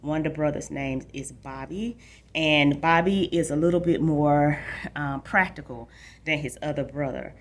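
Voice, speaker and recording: A woman's voice: deep voice, moderate pace. A woman hosting a book program for children, explaining things directly to young listeners and reading aloud. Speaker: library book show host. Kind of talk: informal book talk and read-aloud for children.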